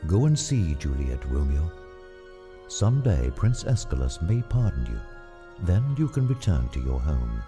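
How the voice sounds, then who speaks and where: Man acting a deep, grave old friar. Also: big pitch swings, a little slow, dark tone, faint music behind, exaggerated voice. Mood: grave, reassuring. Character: grave, fatherly old friar giving counsel. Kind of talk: dramatized storybook dialogue.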